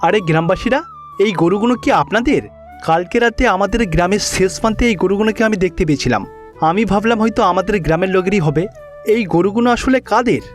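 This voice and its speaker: A man's voice: high voice, fast talker, soft background music, lightly acted. A man playing a kindly, earnest village headman in a cartoon, with an exclamatory way of talking. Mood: inquiring. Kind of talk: animated cartoon dialogue.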